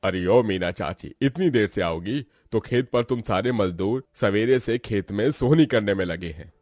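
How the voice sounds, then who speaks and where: Man playing a low-voiced, greedy, scolding landowner. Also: normal speed, a little mellow, no echo, clean studio sound, natural voice. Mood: bossy, scolding. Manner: scolding, dismissive, haughty. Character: greedy, callous rich landowner bossing his farm labourers. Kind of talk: Hindi cartoon story voice acting.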